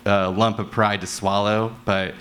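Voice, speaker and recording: A man's voice: fairly low pitch, little pitch movement, unhurried, a bit bright. A man telling an anecdote as an audio drama writer on a live convention panel. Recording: noisy convention room panel.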